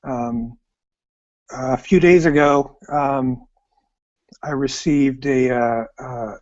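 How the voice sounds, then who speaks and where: A man's voice: medium pitch, steady tone, drawn-out words, darkish tone. A man with an American accent, a guest on an online call, talking in an easygoing, rambling way. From United States. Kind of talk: casual chat. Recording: dull lo-fi call audio.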